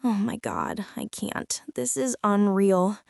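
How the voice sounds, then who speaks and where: A woman's voice: medium pitch, lively intonation, slow, creaky, a little mellow, no echo. A young woman playing a prickly, defensive teenage school bully in an intimate girlfriend ASMR roleplay, sulky and sarcastic, close to the mic. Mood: exasperated. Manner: sulky, sarcastic, huffy, with sighs and whispers.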